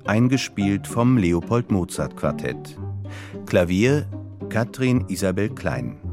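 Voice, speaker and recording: A man with a deep voice makes formal, scripted radio announcements. His delivery is measured and very unhurried. Plucked string music plays clearly behind him.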